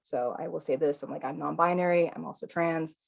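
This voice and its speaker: A non-binary teacher with a female-sounding voice, leading a live online qigong class in a calm, soothing, chatty way with fillers and light laughs. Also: low-pitched, fairly quick, creaky.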